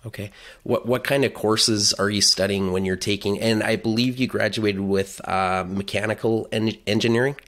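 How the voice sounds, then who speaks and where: A man's voice: fairly low pitch, fairly even intonation, moderate pace, a bit bright. A man hosting a podcast who asks a guest short, curious questions. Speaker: podcast host. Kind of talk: interviewing a guest.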